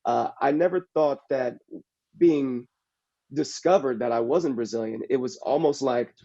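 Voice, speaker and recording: A man from the San Francisco Bay Area, a capoeira practitioner and actor, speaking in a casual panel discussion, halting and reflective as he searches for his words. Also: mid-range voice, mellow, muffled recording.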